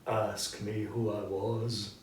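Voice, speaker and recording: A man's voice: fairly low pitch, level tone. A man voicing the anguished, regretful ghost of a dead businessman in a storybook reading, with solemn, emphatic delivery. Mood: stern. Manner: slow, solemn, emphatic declamation. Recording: roomy echo, distant mic, background noise.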